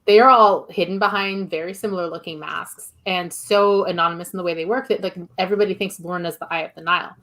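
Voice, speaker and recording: Woman, slightly low voice, podcast guest, casual review talk, conversational filler-heavy phrasing. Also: steady tone, fast, hardly any pauses, fairly bright tone. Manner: conversational, peppered with "like".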